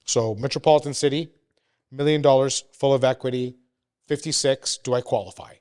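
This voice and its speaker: Man, 40s, podcast host, energetic, question-led, medium pitch. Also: brisk, clean tone. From Canada. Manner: energetic, asks questions, repeats himself. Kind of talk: casual podcast interview.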